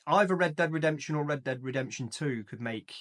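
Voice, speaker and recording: A man co-hosting a casual film podcast, talking in quick bursts with restarts and laughs. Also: on the high side, a bit bright. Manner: chatty, frequent restarts and laughs. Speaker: podcast co-host. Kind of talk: casual chat.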